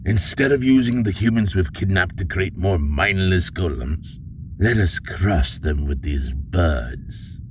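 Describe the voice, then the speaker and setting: Very deep, slow old villain played by a man. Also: animated. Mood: coaxing, scheming. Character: scheming old villain father, deep-voiced former ruler. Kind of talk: audio drama acting. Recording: noticeable background noise.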